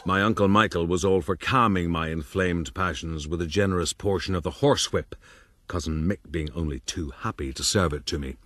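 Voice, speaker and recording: An actor playing an older gentleman who looks back wryly on his youth in florid, formal radio drama narration. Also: deep voice, fairly quick, no room sound, clean studio sound. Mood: wry reminiscing. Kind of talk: first-person period radio drama narration.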